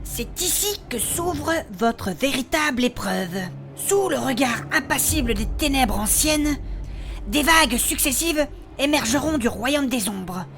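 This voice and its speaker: A woman performs an ominous reading of an ancient curse. Her high voice is grave and deliberate, full of portent in a fantasy audio drama, with music underneath. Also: expressive. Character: ominous reader of an ancient necromantic warning.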